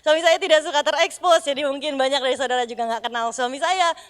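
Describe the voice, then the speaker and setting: A middle-aged woman pastor from Bandung with a Sundanese accent, preaching with lively storytelling and acted-out dialogue. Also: sits high, quick pace, in a large hall. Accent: Sundanese-accented Indonesian. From Bandung, West Java. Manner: animated storyteller, acts out dialogue, addresses congregation as "saudara". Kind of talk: sermon with storytelling. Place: church hall.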